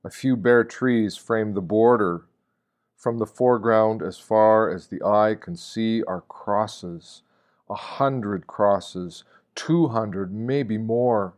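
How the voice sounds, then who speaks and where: Man, lowish pitch, scripted reflective reading, measured phrasing, deliberate pauses. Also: darkish tone. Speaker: reader of meditations.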